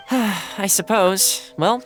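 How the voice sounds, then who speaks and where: A man's voice: high-pitched, extremely slow, a bit bright, faint music behind, full character voice. A man voicing an affable young retainer in an anime video game, conversational and reassuring. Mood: easygoing, reassuring. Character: affable, easygoing young male retainer and fixer.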